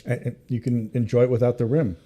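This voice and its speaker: A man talking casually as a podcast guest, often halting and repeating his words. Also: mid-range voice, level tone, dark tone. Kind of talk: casual chat.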